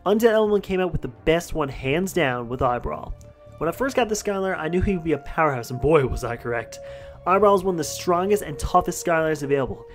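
A man's voice: a little high, expressive, soft background music. A young man narrating a countdown voice-over with enthusiasm over gameplay footage. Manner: enthusiastic, brisk reading. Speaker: gaming channel host.

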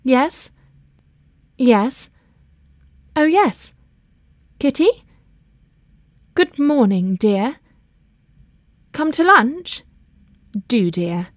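A woman voicing an excitable, affectionate well-bred young woman in a storybook, with breezy, exclamatory chatter. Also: on the high side, normal speed, few pauses, dry recording. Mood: bright, chatty. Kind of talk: storybook character voice in an audiobook.

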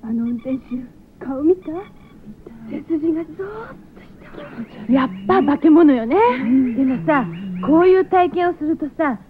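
An actress playing a jittery, excitable young girl traveller in a radio drama. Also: sits high, moderate pace, long pauses, lightly acted. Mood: excited. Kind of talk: 1970s radio drama acting. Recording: tinny, band-limited, very noisy old radio.

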